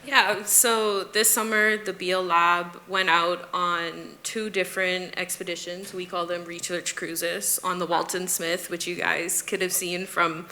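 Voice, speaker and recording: A woman's voice: on the low side, flat delivery, a little slow, few pauses, bright, forceful tone, poor audio. A woman in her twenties from the Bahamas, a doctoral student explaining her ocean research on a panel, earnest and with frequent ums. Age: 20s. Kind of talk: panel discussion.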